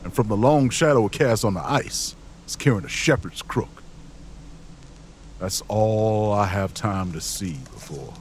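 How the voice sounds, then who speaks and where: A weary, cynical traveler in a post-apocalyptic audio drama. His voice is on the low side and lively in its melody, folksy and grave like someone telling a dark tale. Insects and night ambience sit faintly behind him. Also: unhurried, background noise. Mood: haunted, grave. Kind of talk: audio drama acting and first-person narration.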